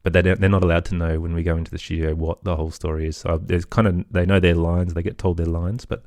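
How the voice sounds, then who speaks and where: A man's voice: sits low, flat delivery, fast, darkish tone, dry recording. A man with an Australian accent, chatting casually as an interview guest about his work. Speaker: interview guest, children's podcast creator.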